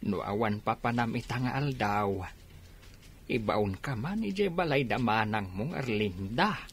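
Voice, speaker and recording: A man's voice: mid-range voice, animated, unhurried, slightly rough. An actor playing a weary, worried middle-aged rural father in a radio drama, sighing and plaintive. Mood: gently reproachful.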